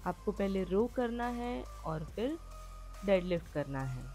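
A woman's voice: mid-range voice, lively intonation, measured pace, dark tone. Woman fitness vlogger, instructional voice-over, mid-range voice, light music bed. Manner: explains steps and reminders directly to viewers.

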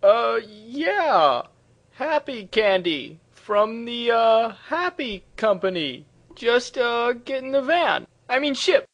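A man's voice: extremely high pitch, sing-song, slow, fairly bright tone, lo-fi sound, exaggerated voice. A man playing a petulant, hot-headed teenage villain prince in a cartoon parody, whiny and awkwardly threatening. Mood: awkward threat. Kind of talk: comedic cartoon parody dub.

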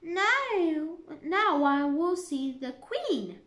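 A woman voicing a calm, polite young girl who speaks clearly. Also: extremely high pitch, a little slow, pitched above the performer's own voice. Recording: roomy echo.

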